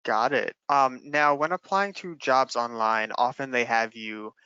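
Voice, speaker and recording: Male moderator, casual question-asker, crisp bright voice on slightly dull, dry audio. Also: medium pitch, a little slow, no echo. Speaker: webinar host relaying audience questions.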